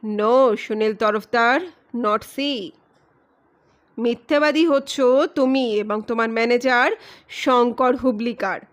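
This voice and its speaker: Woman reading as sardonic, high-voiced male detective. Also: fairly bright tone. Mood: accusing. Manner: deliberate, accusing, authoritative. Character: commanding, sardonic male private detective confronting a culprit.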